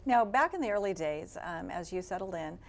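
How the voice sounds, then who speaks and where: A woman's voice: lowish voice, lively intonation, a little fast. A woman hosting a local TV interview show, who introduces her guests and asks questions in a polished, friendly way. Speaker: host.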